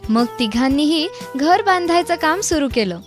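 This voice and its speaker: A woman's voice: high voice, animated, normal speed. A woman narrating a scripted children's story as a storyteller. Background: background music.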